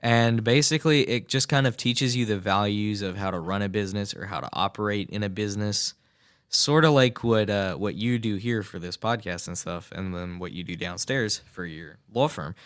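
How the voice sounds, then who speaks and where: A teenage boy with a fairly low voice talks as a guest on a local radio show. He rambles earnestly and keeps restarting his sentences with fillers, speaking from a small studio booth. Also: normal speed, a bit bright. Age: teens. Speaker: student guest. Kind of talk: radio interview, earnest and chatty.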